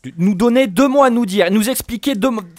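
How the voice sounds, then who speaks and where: An actor in an amateur audio drama playing a hotheaded vacationer with melodramatic outbursts. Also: male voice, extremely high pitch, a little fast, a little mellow, no echo. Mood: bombastic. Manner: bombastic, melodramatic. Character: hotheaded vacationer, theatrical accuser.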